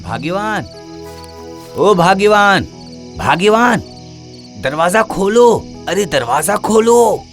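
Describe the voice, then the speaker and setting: A man's voice: high-pitched, animated, slow, pauses often, a bit bright, soft background music, exaggerated voice. A man playing a sly, coaxing village farmer husband in a cartoon story. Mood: urgent, coaxing. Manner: coaxing, exclamatory, wily.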